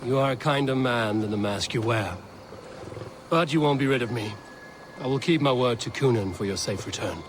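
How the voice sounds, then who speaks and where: An actor playing an honorable, plain-spoken Viking warrior in a video game, earnest and gently teasing. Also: male voice, mid-range voice, moderate pace, noisy background, lightly acted. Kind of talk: dramatic medieval video game dialogue.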